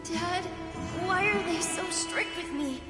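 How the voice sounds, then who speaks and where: A woman's voice: extremely high pitch, slow. A woman voicing an aggrieved little child in a video game, whiny and plaintive. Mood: hurt, plaintive. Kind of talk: scripted video game voice acting. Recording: long echoing reverb, loud music.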